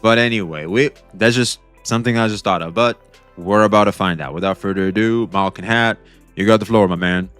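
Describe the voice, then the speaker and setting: A young man hosting a casual reaction stream, talking back to a video with excited, repetitive commentary. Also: fairly low pitch, a little fast, faint music behind. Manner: excitable, talks back to the video, repeats himself.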